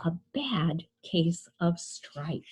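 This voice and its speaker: A woman hosting a storytime, reading a picture book aloud to young children in an expressive, deliberate way and addressing them directly. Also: lowish voice, slightly rough. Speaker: children's librarian, storytime host.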